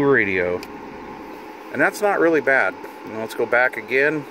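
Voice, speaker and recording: Middle-aged man, noisy vehicle interior, medium-pitched voice, casual demonstration, informal, talking himself through the job. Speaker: hobbyist video host demonstrating radio gear. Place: inside a parked vehicle. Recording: noticeable car and fan noise.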